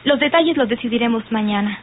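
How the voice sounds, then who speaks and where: An actress playing a composed, determined young daughter in an old radio soap. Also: female voice, on the high side, fast talker, close to an everyday voice. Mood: firm. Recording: tinny old radio recording, faint noise.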